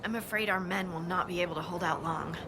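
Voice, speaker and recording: A woman's voice: lowish voice, quick pace, heavy background noise, lightly acted. An actress playing a capable, earnest female military scout in a video game, briefing in a concerned, matter-of-fact way. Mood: concerned, reporting.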